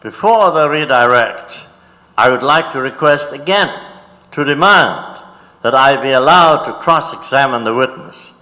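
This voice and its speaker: Defiant courtroom defendant male actor, deliberate and forceful, big reverberant hall. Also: medium pitch, lively intonation. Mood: defiant, insistent. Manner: insistent, deliberate. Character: defiant male defendant demanding his rights. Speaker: reader in staged documentary. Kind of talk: dramatic reading of court transcript. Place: large auditorium. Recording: reverberant auditorium.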